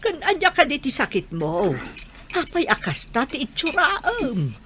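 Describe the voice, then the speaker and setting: Clear background noise surrounds a fairly high-pitched older mother, played by a woman, in a story drama. She fusses anxiously and dotingly over her grown child. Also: wildly swooping pitch, a little slow, full character voice. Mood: anxious care.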